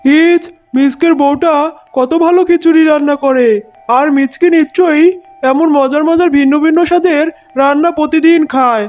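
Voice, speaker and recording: A woman's voice: high voice, fairly even intonation, normal speed, darkish tone, soft background music, lightly acted. A woman playing a greedy, lovesick and scheming male fox in a cartoon, in a theatrical manner. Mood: wistful. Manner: theatrical, gushing. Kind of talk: cartoon voice acting.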